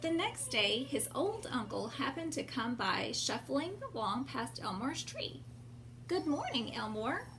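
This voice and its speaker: A woman reading a storybook aloud expressively to young children, pausing to explain things simply. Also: a little high, sing-song. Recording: clear background noise.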